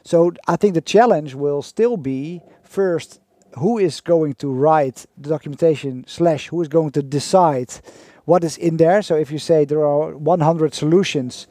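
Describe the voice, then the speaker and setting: A man co-hosting a podcast, talking in a halting way with many fillers and restarts. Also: on the high side, warm tone, no room sound. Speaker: podcast co-host. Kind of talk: conversational podcast chat.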